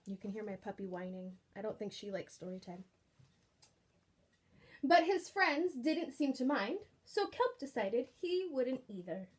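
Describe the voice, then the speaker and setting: High-pitched, animated woman reading a picture book. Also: unhurried, breathy edge. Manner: animated, engaging with questions. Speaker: children's storyteller, teacher reading aloud. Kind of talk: expressive picture-book read-aloud with asides to young listeners.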